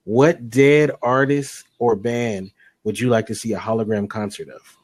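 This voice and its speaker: A man co-hosting an interview show, running a rapid-fire question round with quick acknowledgements and frequent laughs. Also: medium pitch, fairly even intonation, no room sound. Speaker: show co-host.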